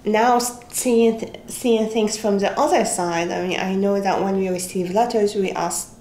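A woman's voice: mid-range voice, fairly even intonation, moderate pace, a little mellow. A woman from Syria, Arabic her first language, answering interview questions thoughtfully in an office, restarting often. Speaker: interviewee, computer scientist. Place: office with desk, indoors. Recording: roomy office echo, faint hiss.

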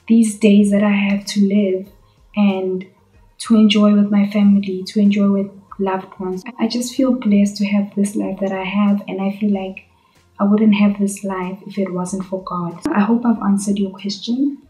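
A young South African woman talks straight to the camera in an earnest, chatty way, answering viewer questions. Her voice is mellow and soft-edged. The bedroom gives it a noticeable echo. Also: medium pitch, flat delivery, a little slow. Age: 20s. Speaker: YouTuber. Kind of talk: casual vlog Q&A. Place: bedroom, indoors. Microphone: camera on tripod in front. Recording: echoey bedroom.

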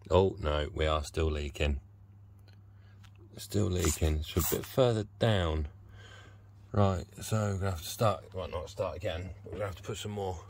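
A British man in his forties giving a low-key, casual running commentary as he does a repair, half muttering to himself. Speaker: vlogger demonstrating a repair. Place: covered outdoor patio by a hot tub. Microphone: handheld phone camera mic. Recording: faint ambient noise, handheld phone recording.

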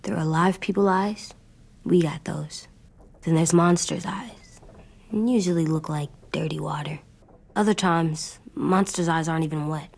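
A woman's voice: on the low side, gravelly, slightly rough, exaggerated voice. A woman voicing an earnest, stubborn little boy in an apocalypse video game, with a childlike, plain-spoken, solemn delivery. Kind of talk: naturalistic video game acting.

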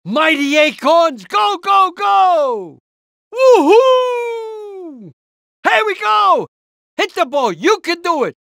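A man's voice: very high-pitched, sing-song, extremely slow, gravelly, sharp tone, no room sound, studio quality, heavily acted. A man voicing an anxious, encouraging, portly middle-aged rooster father in a cartoon video game, with a hesitant, rambling, fatherly manner. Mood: excited cheering. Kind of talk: animated video game character lines.

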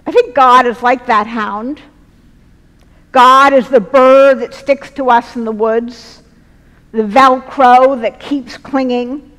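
A middle-aged woman delivers a sermon at a church lectern in slow, weighty phrases. Her voice sits fairly high, and a long church reverb trails behind every line. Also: steady tone, a bit bright. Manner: measured, earnest, slow deliberate phrasing. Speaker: preacher. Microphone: lectern mic, far camera.

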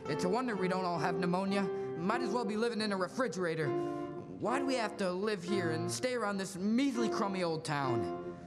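A man's voice: sits high, animated, brisk, over loud music. A young man acting an agitated, irritable small-town family man in a live radio play. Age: teenager or young adult. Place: school auditorium stage. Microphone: stand microphone. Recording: echoey hall with long reverb.

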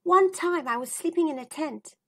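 A woman voicing an excitable little girl in a children's storybook, telling things earnestly in a childlike way. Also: high-pitched, moderate pace, voice pushed up. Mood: eager.

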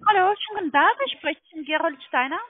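Female call center agent, high-pitched, polite scripted delivery, thin phone audio. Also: quick pace. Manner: formal, polite. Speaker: call center financial sales caller. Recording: tinny narrowband phone line.

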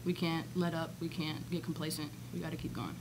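A young woman athlete speaks upbeat and slightly low into a desk microphone at a postgame press conference, with plenty of filler ums. A clear layer of noise sits under the voice throughout. It is an indoor press room rather than a studio. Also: flat delivery, brisk. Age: young adult. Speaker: college basketball player. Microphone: desk microphone on stand.

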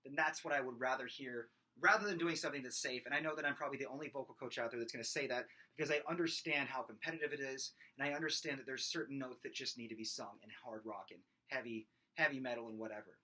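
Nasal, bright-voiced man coaching singing. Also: quick pace, hardly any pauses, slightly rough. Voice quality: nasal, small, whiny voice. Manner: talks with his hands, demonstrates belted high screams. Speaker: vocal coach. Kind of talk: casual singing lesson to camera. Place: small room at home, indoors. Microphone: webcam at desk distance.

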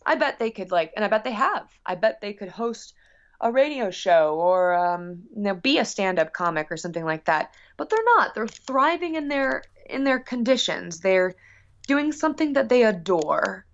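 A woman's voice: mid-range voice, muffled recording. A woman musician being interviewed casually, talking in a long-winded, rambling, earnest way. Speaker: guest musician.

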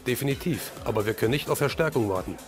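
A man's voice: medium pitch, animated, fast talker, over music, lightly acted. A man playing a determined, serious crime-fighting hero in an audio drama. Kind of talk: German fan audio drama acting.

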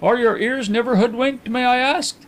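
A man voicing a proud, excitable gentleman in an audiobook, speaking in stiff, emphatic exclamations. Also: very high-pitched, normal speed, voice pushed up. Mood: sympathetic, amazed. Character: excitable, proud, touchy gentleman visitor, agitated and bitter. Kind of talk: audiobook character acting.

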